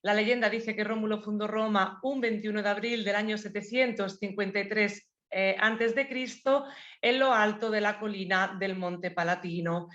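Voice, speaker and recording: A woman from Spain with a Spanish accent, a tour guide explaining history in a webinar, pausing often with fillers. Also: medium pitch, fairly even intonation, a bit bright, highs cut off. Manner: hesitant, frequent fillers, explanatory. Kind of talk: webinar presentation. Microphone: video call.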